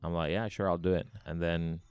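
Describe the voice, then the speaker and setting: Male interview guest from Hong Kong, very low clean voice, conversational and anecdotal. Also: level tone, brisk, a little mellow, clean tone, dry recording, clean studio sound. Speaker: bar founder, interview guest. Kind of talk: casual interview chat.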